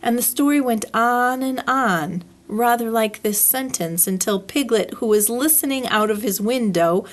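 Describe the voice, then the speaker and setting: Woman, highish voice, slow deliberate pace, animated children's storybook narration. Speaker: librarian reading a children's book aloud.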